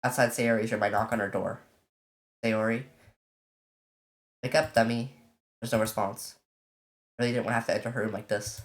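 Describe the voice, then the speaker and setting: A let's player casually commenting on a visual novel and reading its text aloud, in an informal, halting way. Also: mid-range voice, steady tone. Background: game music.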